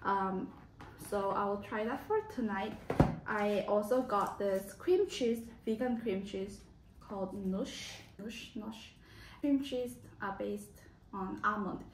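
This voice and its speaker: A woman's voice: mid-range voice, expressive, slow. A young adult woman vlogger chatting casually and informally to the camera in a home kitchen. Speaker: lifestyle vlogger. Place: small home kitchen, indoors. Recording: echoey room, clear background noise.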